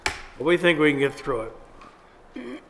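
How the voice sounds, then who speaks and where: A man serving as mayor, chairing a council work session in a plain, procedural way, his voice gravelly from a cold. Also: fairly high pitch, very animated, slow, slight background noise. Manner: plain, procedural, brief asides. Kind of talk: formal meeting chairing.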